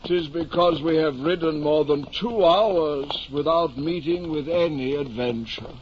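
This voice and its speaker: A man's voice: on the high side, unhurried, lightly acted. A muffled, slightly noisy 1950s radio drama recording of a man playing an ageing, deluded knight-errant. He declaims in lofty archaic phrases, pompous and solemn, as if every word were a proclamation of chivalry. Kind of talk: 1950 old time radio drama acting.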